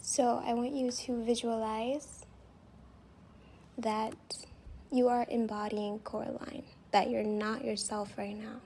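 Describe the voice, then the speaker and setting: A non-native English-speaking woman with a soft, breathy voice that drifts into whispers, gently and reassuringly leading a guided meditation as host. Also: a little high, flat delivery, takes it slowly. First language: not English. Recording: faint background noise, home recording.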